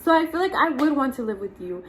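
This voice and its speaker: Slightly raspy, higher-pitched young woman. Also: big pitch swings, quick pace, slight background noise. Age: young adult. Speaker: host, vlogger. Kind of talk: casual chat.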